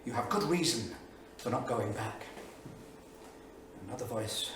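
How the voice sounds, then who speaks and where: A man's voice: mid-range voice, a little slow, a bit bright, lightly acted. A man playing an anguished, middle-aged English writer and war veteran in a staged diary reading, sardonic, emphatic and literary in delivery. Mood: grave, reflective. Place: small theatre studio. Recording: echoey theatre, distant mic, faint noise.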